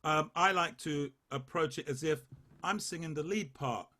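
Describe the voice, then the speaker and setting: A man leading a choir rehearsal, coaching the basses and singing short phrases to demonstrate. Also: sharp tone. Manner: unhurried, sings phrases to demonstrate. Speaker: choir leader.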